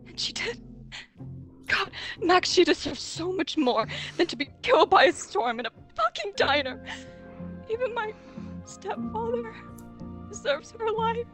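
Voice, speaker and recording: A woman's voice: extremely high pitch, lively intonation, a little slow, long pauses, a bit bright, soft background music, pitched above the performer's own voice, heavily acted. A woman playing an anguished, self-blaming young woman in a dramatic fan scene, earnest and pleading.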